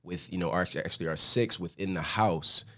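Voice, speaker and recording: American guy from philly, real low voice, earnest podcast guest just talking. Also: a little fast, sharp tone. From Philadelphia, US. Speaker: guest, former college athlete and mentor. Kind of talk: casual conversational interview. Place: podcast studio.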